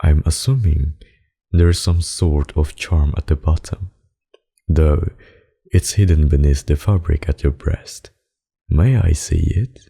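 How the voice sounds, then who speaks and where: A man voicing a suave, possessive, menacing vampire in an ASMR roleplay, with formal, courtly, seductive speech and a gravelly, husky voice. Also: a bass voice, a little slow, mellow, heavily acted. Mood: courtly, insistent. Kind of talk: ASMR roleplay, dramatic monologue.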